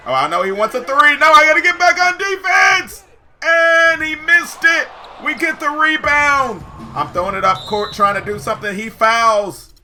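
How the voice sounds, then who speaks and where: A man giving excited, slangy live commentary over basketball video game play, shouting at big plays, his voice getting hoarse. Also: very high-pitched, moderate pace, a little hiss or hum. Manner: slangy, says "yo" often. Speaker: gaming YouTuber.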